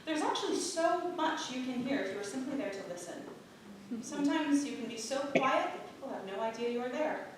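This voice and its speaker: A woman playing a frail, quiet, polite Puritan wife who is secretly shrewd, in a staged reading of a period play. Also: sits high, expressive, fairly quick. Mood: amused. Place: theatre stage, picked up from far off. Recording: very roomy, distant, noisy theatre recording.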